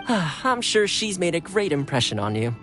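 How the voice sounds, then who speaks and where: Teasing female game retainer, very low pitch, deferential, smooth, admiring, music behind. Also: very animated, measured pace, fairly bright tone. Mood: flustered. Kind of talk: scripted game dialogue.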